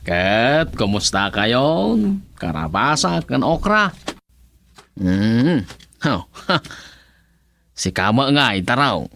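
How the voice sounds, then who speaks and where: A man's voice: medium pitch, very animated, very slow, creaky, pauses often, fairly bright tone, exaggerated voice. A man playing a simple, jolly bachelor gardener in an Ilocano comedy radio drama, with chuckling, humming, singsong comic talk. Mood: doting, playful. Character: simple, jolly bachelor gardener fussing over his plants.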